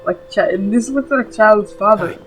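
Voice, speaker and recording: A woman with a mid-pitched voice commentates on a video game. She talks informally, thinking and reacting aloud with many fillers. Music plays faintly underneath. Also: unhurried, poor audio. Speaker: gaming YouTuber. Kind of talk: casual let's-play commentary.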